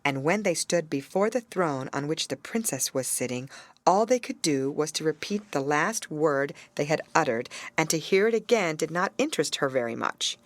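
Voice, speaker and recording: A woman reads a fairy tale aloud for an audiobook. Her voice sits fairly low but rises and falls with great expression. The recording is dry, with no room sound. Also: expressive, normal speed, no echo. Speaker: audiobook reader.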